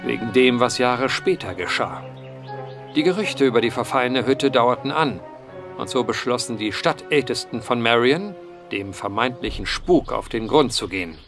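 An earnest, eloquent gentleman investigator played by a male actor tells of strange matters in a radio mystery. His voice sits at a middle pitch and his phrasing is formal and measured in wording. Soft music plays behind him. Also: lively intonation, lightly acted. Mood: grave storytelling. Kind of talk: period mystery radio play acting.

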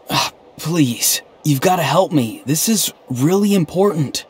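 An actor playing an earnest, odd, melancholy young man in a video game, speaking in a halting, stiffly sincere deadpan. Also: mid-range voice, a little hiss or hum, lightly acted. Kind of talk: video game dialogue acting. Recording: game music underneath. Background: background game music.

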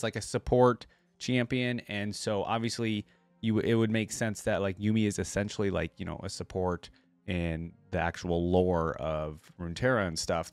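A man's voice: on the low side, no room sound. A young man reading a story aloud and commenting casually as a reaction video host. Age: young adult.

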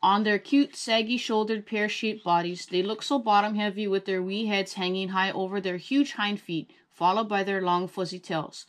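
A woman voicing a teasing old grandmother who is hard of hearing, speaking briskly and chuckling. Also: mid-range voice, fairly even intonation, close to an everyday voice. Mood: animated. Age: older.

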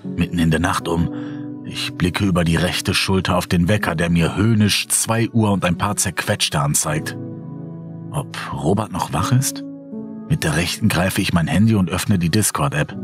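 A man's voice: sits low, normal speed, music in the background, lightly acted. A man narrating a horror audio drama as a sleepless, brooding character, in a moody, dramatic way. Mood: restless, irritated. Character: sleepless, brooding man haunted by a mysterious hole.

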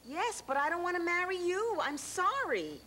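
A woman's voice: extremely high pitch, big pitch swings, moderate pace, pushed and bright, heavily acted. A woman playing a firm but kind, exasperated object of affection in children's TV comedy, earnest and emphatic, with some background noise. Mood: apologetic, firm.

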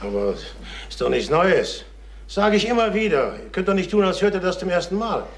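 An actor playing a jittery, evasive middle-aged salesman and father in a radio crime play, speaking haltingly with repetitions. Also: high-pitched, sing-song, reverberant. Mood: defensive, strained. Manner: halting, repeats himself. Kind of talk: German radio crime drama acting.

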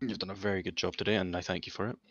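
A man playing a measured, polite senior legal colleague who instructs a trainee in an online roleplay game. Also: sits low, level tone, a bit bright. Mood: measured, instructive. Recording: dull, lo-fi game voice chat.

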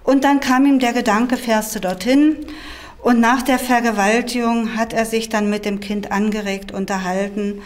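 Higher-pitched woman recounting a case. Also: fairly even intonation, moderate pace. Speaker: prosecutor interviewed. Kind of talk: spoken interview recollection. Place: indoor filmed interview.

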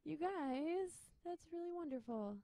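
A woman's voice: high voice, lively intonation, unhurried, mellow, no echo. A young woman who sings in a band, chatting with viewers between songs in a bubbly, giggly way. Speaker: band singer hosting a livestream. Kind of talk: casual chat between songs. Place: indoor rehearsal room with drum kit. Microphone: handheld microphone.

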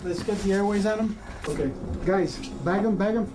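A man's voice: sits high, normal speed, heavy background noise, natural voice. An actor playing an emergency doctor giving orders to his team while treating a bleeding patient. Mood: urgent. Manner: calm, commanding, switches languages.

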